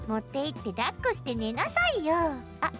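A fussing young female friend from an anime radio drama. Her voice is high and swoops expressively as she nags in a bossy, caring way. Music plays behind her. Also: sing-song. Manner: brisk, nagging, familiar. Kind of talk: Japanese anime-style radio drama acting.

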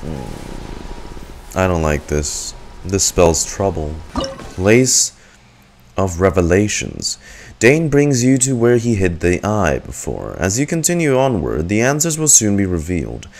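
A man's voice: deep voice, big pitch swings, slow, creaky, darkish tone, slight background noise. A young man giving live, informal and exclamatory gameplay reaction commentary as a streamer at home. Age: young adult. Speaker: game streamer. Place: indoor room at home. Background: game music.

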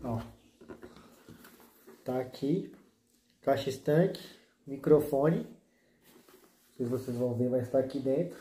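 A man's voice: medium pitch, slow pace, long pauses, mellow, a little hiss or hum. A man in his thirties, casually showing his motovlog gear in a small indoor room, laid-back and full of slang fillers. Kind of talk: casual slangy show-and-tell vlog. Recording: echoey room.

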